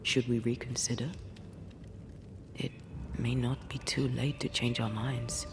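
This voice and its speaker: An actress playing a ghost woman detached from life yet devoted to her lover, speaking in a measured, hushed, solemn way in video game dialogue. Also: very deep voice, flat delivery, noisy background. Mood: resolute, searching. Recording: music under the voice.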